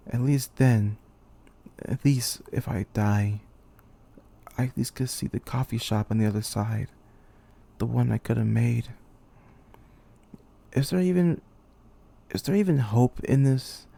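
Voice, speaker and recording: A man's voice: medium pitch, gravelly, mellow. A man acting an amateur dramatic monologue as a weary, bitter young student commander, brooding and intense. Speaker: aspiring voice actor.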